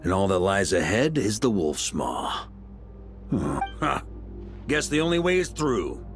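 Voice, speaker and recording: An actor playing a seasoned, bold knight commander in a video game, with a wry, confident, theatrical delivery. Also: male voice, fairly low pitch, big pitch swings, measured pace. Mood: musing, resolute. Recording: music under the voice.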